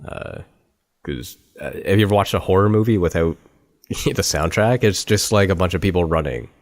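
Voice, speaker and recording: A man hosting a podcast, chatting in a relaxed, upbeat way with his guest and wrapping up the show. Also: deep voice, normal speed, no room sound, studio quality. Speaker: podcast host. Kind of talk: casual interview and outro.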